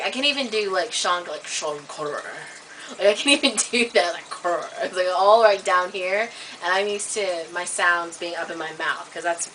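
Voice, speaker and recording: A woman vlogging in a casual, rambling monologue to camera, full of "like" and "um", getting animated and high when worked up. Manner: chatty, filler-heavy, many "like" and "um" restarts. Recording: distant webcam mic with faint hiss.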